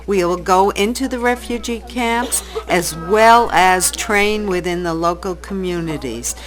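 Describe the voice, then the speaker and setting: A woman's voice: lowish voice, unhurried, soft background music. A woman presenting a programme in a scripted narration style.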